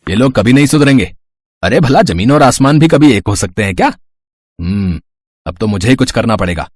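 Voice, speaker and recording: A man's voice: medium pitch, animated, fairly quick, a little mellow, no echo, lightly acted. A man voicing a spiteful, scheming village cobbler in a story, sneering and muttering as he gloats. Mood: bitter, scheming.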